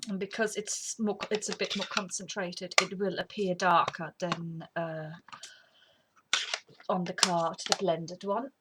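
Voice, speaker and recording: A woman's voice: on the low side, level tone, unhurried. A middle-aged woman with a slight cold, chatting casually and rambling while demonstrating a craft technique. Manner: rambling, chatty, drops to whispers while working. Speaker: craft tutorial host. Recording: faint background noise.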